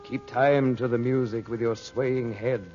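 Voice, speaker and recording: An actor playing a calm, commanding magician uncle in a radio adventure serial, kindly but secretive and deliberate in speech. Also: male voice, mid-range voice, lightly acted. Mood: hushed, mesmerizing. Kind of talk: old-time radio adventure serial acting. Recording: dull, noisy vintage radio recording.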